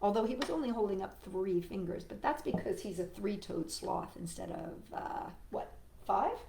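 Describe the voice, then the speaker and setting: A woman reading to children at storytime, expressive and playful, talking directly to young listeners. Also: mid-range voice, normal speed, vocal fry, background noise. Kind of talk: read-aloud storytime for children with chatty asides. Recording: echoey room.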